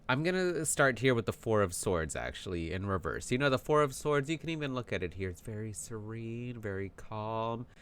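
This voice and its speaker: A man hosting a casual tarot card reading, talking in an animated, chatty way with plenty of fillers, his voice tired from yelling. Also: mid-range voice, clean tone. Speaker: tarot reader.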